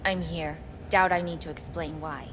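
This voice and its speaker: A woman's voice: deep voice, steady tone, moderate pace, in a large hall, noisy background, close to an everyday voice. An actress playing a jaded, haughty woman in a video game, with a sardonic, cutting way of talking. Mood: scornful. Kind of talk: video game cutscene acting.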